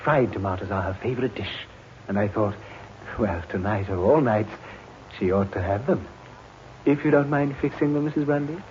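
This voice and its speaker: An actor playing a scheming young bridegroom who poses as a devoted husband, in theatrical 1950s radio drama style. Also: male voice, lowish voice, moderate pace, darkish tone, lightly acted. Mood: courteous, smooth. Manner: theatrical, ingratiating. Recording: muffled, noisy old radio recording.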